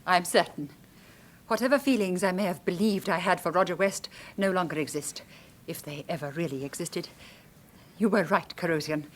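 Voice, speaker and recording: A woman's voice: fairly low pitch, moderate pace, natural voice. An actress playing a villain's devoted, resolute female accomplice in a radio drama, speaking formally and earnestly. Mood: resolute devotion.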